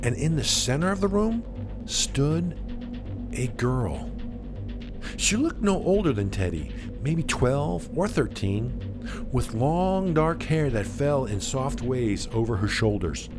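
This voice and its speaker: Male narrator for suspense fiction: mid-pitched, slow and measured, dramatic pauses, widely varied intonation. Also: sing-song. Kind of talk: dramatic scripted story narration. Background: music.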